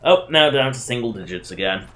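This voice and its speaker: A man's voice: medium pitch, animated, brisk, fairly bright tone. A man doing casual live game commentary, rambling and self-deprecating as he chats to his viewers. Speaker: game livestreamer.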